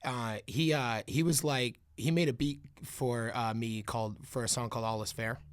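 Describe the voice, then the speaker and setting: Raspy-voiced man telling stories. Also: mid-range voice, normal speed, dry recording, clean studio sound. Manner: animated storyteller, laughs often. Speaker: podcast guest, rapper. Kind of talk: casual interview storytelling.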